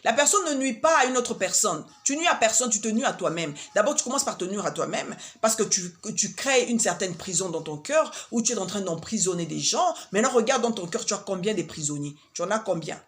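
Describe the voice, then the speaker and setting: A woman's voice: mid-range voice, lively intonation, fairly quick, hardly any pauses. A woman from Congo with a Congolese French accent and Swahili as her first language, giving advice in a casual, hesitant monologue full of fillers and repeated words. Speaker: vlogger giving life advice.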